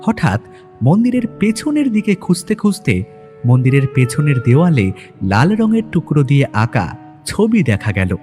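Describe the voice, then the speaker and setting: A man's voice: a little high, very animated, moderate pace, a little mellow. A man narrating a detective story in the first person, in a dramatic scripted audio-story style. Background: background music.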